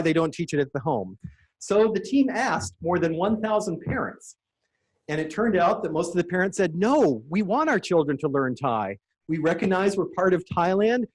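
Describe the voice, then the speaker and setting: A man's voice: high voice, low-quality recording. A middle-aged man giving an enthusiastic, lightly joking conference presentation with slides. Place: conference room.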